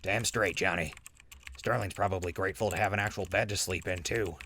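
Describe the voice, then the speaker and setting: Laid-back wasteland traveler, played by a man: deep, forceful tone, dry wit, audio drama. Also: steady tone, brisk, slight background noise. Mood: relaxed. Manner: dry, casual.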